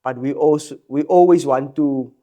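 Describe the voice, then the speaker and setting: Man, South African accent, animated, repeats himself, casual podcast chat. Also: mid-range voice, normal speed. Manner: animated, emphatic, repeats phrases, laughs often. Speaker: guest musician.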